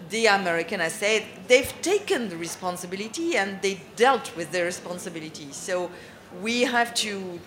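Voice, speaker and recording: A woman's voice: medium pitch, fairly bright tone. Earnest woman searching for words. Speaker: panelist for a medical aid group. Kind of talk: panel Q&A answer.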